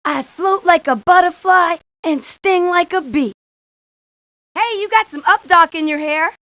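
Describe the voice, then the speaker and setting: A woman's voice: very high-pitched, a little slow, bright, forceful tone, no echo, dull audio, exaggerated voice. A woman voicing a sassy, playful little girl who likes to joke and show off in a cartoon game. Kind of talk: cartoon game character lines.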